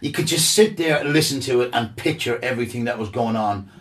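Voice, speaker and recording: A man's voice: medium pitch, brisk, recorded from a distance. A man guest musician chatting casually, enthusiastic and rambling with repeated words. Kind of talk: casual chat.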